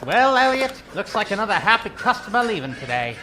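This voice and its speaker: A man's voice: sits high, very animated, a little fast, background noise. A man playing an easygoing, chummy shop manager in an audio drama. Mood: friendly. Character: friendly, easygoing male electronics store boss.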